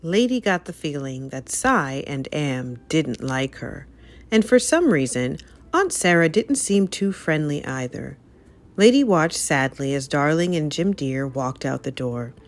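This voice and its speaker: A woman narrates a children's story in a low voice. Her reading is expressive and dramatic, swinging widely in pitch to mark each turn. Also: a little slow. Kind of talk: children's read-aloud narration.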